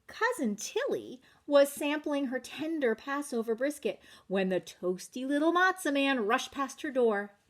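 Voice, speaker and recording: A woman reading a story to young children, expressive and addressing her listeners directly with questions. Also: high voice, measured pace, few pauses. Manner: expressive, asks listeners questions, varies volume. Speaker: children's story reader, teacher.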